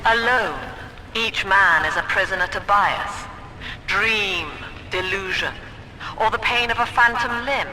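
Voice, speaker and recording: A woman playing a cold, commanding psychiatrist and cult leader in a video game, speaking in measured, solemn oratory. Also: mid-range voice, pushed and bright, a little hiss or hum. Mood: grave, persuasive. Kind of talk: scripted dramatic game dialogue. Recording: echoey reverberant hall, music behind.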